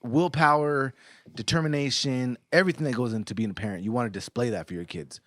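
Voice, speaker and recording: Guy in his 30s, middle pitch, dry close mic, blunt sweary podcast talk. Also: fast talker, a bit bright, dry recording. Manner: blunt, swearing, earnest storytelling. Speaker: podcast co-host. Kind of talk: casual podcast chat.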